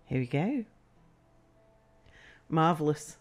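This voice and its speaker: A woman hosts a livestream chat, her voice slightly croaky from a cold. She speaks slowly and with a lively, rising and falling pitch, and she keeps encouraging her guest. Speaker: host.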